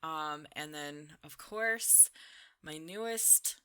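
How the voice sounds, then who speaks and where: Young female gaming creator: bright, crisp-edged voice, a little slow-paced, informal and rambling, chatting casually. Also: sits low. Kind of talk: casual chatty commentary while playing a game.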